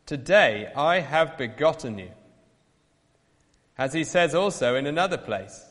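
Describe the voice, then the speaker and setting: A man reading scripture aloud in church, slow, solemn and lilting. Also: on the high side, a bit bright, big-room sound. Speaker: Bible reader.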